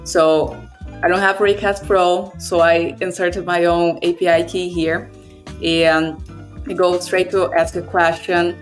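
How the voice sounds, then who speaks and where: A young woman, a native Brazilian Portuguese speaker, talks easily on a video call from a room at home, her voice sitting a little low. She chats in a relaxed way, leaning on "like" as she explains things. Soft music plays faintly underneath. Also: a little slow. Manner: relaxed, conversational, frequent "like" fillers. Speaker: developer relations guest. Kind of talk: casual video call chat.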